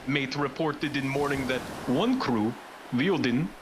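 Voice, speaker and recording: A man acts as a grave ship's captain in a radio play, giving log entries slowly in clipped, solemn phrases. His voice sits at a middle pitch, with loud surf and ship noise around it. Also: natural voice. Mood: solemn, terse. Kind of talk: radio drama acting, solemn terse log narration. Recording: noisy surf and ship ambience behind.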